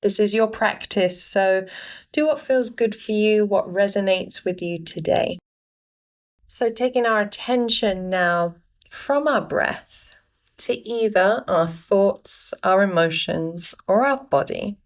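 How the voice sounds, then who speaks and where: Slow-paced woman guiding a meditation. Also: medium pitch. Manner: unhurried, pausing between short phrases. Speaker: meditation guide. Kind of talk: guided meditation, gentle spoken instructions.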